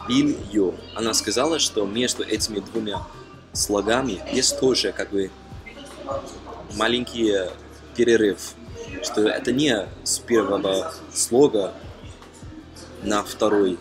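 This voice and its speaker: A young man from Germany talking casually to camera as a non-native speaker, halting with frequent fillers and self-corrections. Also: fairly low pitch, low-quality recording. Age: young adult. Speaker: language-learning vlogger. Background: background music.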